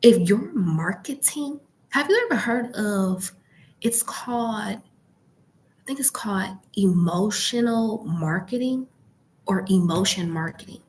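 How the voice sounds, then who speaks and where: A woman coaching informally in a hushed, partly whispered voice, emphatic, often checking in with the listener. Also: mid-range voice, expressive, measured pace, a little hiss or hum. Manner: emphatic, rhetorical questions and frequent check-ins. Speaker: YouTube branding and e-commerce coach. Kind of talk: informal coaching talk to camera. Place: library.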